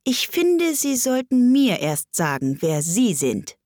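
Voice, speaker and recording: A woman's voice: medium pitch, sing-song, moderate pace, darkish tone, slightly rough, no echo, clean studio sound. A woman voicing a polite, earnest, confused young girl in a storybook, speaking a little hesitantly. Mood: annoyed.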